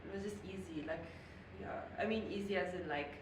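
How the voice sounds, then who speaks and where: A woman's voice: lowish voice, fairly quick. A young woman from Zambia, a guest on a podcast, chatting casually, saying "like" constantly and giggling at herself. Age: young adult. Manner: chatty, says like constantly, giggly and self-mocking. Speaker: guest, professional swimmer. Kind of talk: casual interview chat. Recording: echoey room, mic at a distance, clear background noise.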